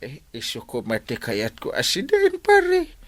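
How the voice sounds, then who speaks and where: Comic radio drama: lazy Ilocano village captain, whiny, stammering, bright close dry sound, pitch leaping everywhere. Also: male voice, wildly swooping pitch, measured pace, dry recording. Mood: eager, cajoling. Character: lazy village captain whining and feigning illness.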